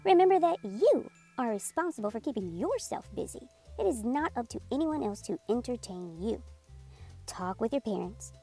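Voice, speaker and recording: A woman reading a children's picture book aloud in a clear, gently instructive way. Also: fairly high pitch, sing-song, normal speed, soft background music. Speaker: storyteller.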